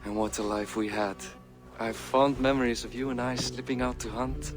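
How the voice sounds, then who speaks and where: A man's voice: medium pitch, steady tone, moderate pace, close to an everyday voice. Man playing a wry, world-weary Viking king. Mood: nostalgic, teasing. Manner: wry, self-deprecating, old-fashioned phrasing. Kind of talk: dramatic video game acting. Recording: music behind the voice.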